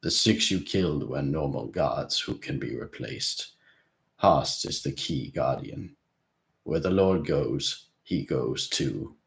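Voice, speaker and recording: Solemn seer, as a man puts him on, very low, slow, deliberate. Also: expressive, gravelly, fairly bright tone, exaggerated voice.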